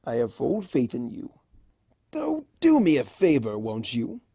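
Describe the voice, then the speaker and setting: Someone voicing a smug, theatrical trickster creature, swooping pitch. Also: wildly swooping pitch, vocal fry, warm tone, husky, no echo, studio quality, exaggerated voice. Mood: playful, smug. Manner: drawn-out, theatrical, teasing delivery.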